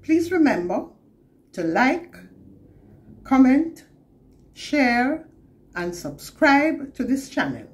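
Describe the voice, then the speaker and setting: An older woman with a Jamaican accent reads scripture to camera in a measured, deliberate way. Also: high voice, sing-song. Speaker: scripture reader. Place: plain indoor room, seated.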